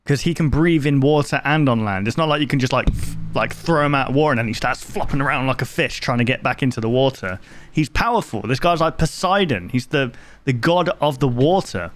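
Chatty excitable male reaction host. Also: mid-range voice, brisk, a little hiss or hum. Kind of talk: reaction commentary.

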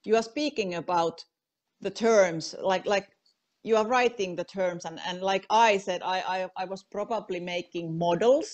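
A woman in her forties with a Finnish accent, interviewing a guest over a video call with halting, searching English. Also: medium pitch, a little mellow. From Finland. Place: indoors at home, video call. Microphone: earphone mic on a video call.

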